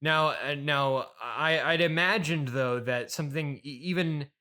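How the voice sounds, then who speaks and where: A man hosting an improvised comedy interview, deadpan, hesitant and politely puzzled. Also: on the high side, unhurried. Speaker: comedy podcast host and interviewer.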